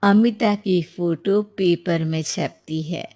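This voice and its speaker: A woman narrating a scripted moral story in a measured storybook manner. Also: lowish voice, fairly even intonation, mellow.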